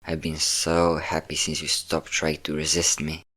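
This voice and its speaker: A man's voice: extremely low pitch, completely flat delivery, a little slow, bright, forceful tone, heavily acted. A man playing a possessive, domineering vampire lover, teasing and commanding the listener in an intimate audio roleplay. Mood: fond, teasing. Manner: teasing, commanding, breathy whispers at times.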